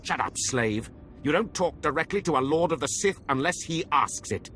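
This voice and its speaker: A man's voice: fairly high pitch, expressive, moderate pace, fairly bright tone, soft background music. A man acting a cruel, contemptuous overseer of slaves in a video game cutscene, with a sneering, scornful manner. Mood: scornful, barking. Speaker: Sith academy overseer character.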